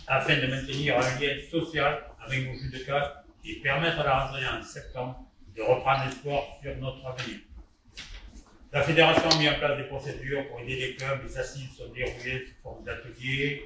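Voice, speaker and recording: A man's voice: mid-range voice, background noise. A man, a judo official, giving a prepared formal ceremonial speech. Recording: echoey hall, distant mic.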